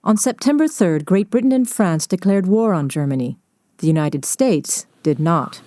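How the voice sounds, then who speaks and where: A woman's voice: fairly low pitch, animated, moderate pace, mellow, no room sound, clean studio sound. A woman reporter narrating a scripted radio documentary.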